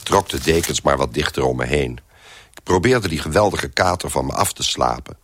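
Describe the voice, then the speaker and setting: Deep-voiced, hungover, wry male narrator. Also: moderate pace, fairly bright tone, a little hiss or hum. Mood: wry, groggy. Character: hungover, bedraggled man recounting his misadventures. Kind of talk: first-person radio play narration.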